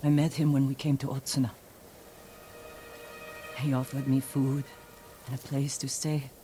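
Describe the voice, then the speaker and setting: A woman playing a grim, wounded thief bent on revenge in a dramatic video game, terse and weighty, with a low voice. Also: flat delivery, measured pace, warm tone, slight background noise. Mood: pained, confessing. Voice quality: low-pitched voice. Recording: music behind the voice.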